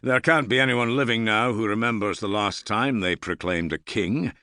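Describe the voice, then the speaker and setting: A man's voice: lowish voice, normal speed, pushed and bright, no echo, voice pushed up. A man voicing a self-assured Norse earl in an audiobook, with a dry, amiable irony. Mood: wry, amiable. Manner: dry, amiable, gravely ironic. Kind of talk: audiobook character dialogue.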